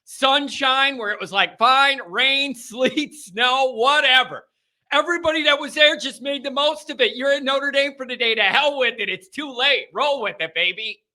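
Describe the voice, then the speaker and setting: A man's voice: very high-pitched, moderate pace. A man hosting a sports talk show, chatting casually and energetically while his voice sounds strained. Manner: energetic, chatty, loud openers. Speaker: sports podcast host.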